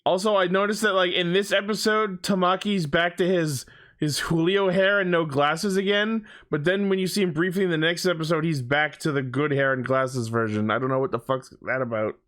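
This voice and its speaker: A man with a noticeably high voice chats casually as a podcast co-host. He is opinionated and swears freely, with an exasperated, griping way of talking. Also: fairly even intonation, fairly quick, a bit bright. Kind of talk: casual pop-culture chat.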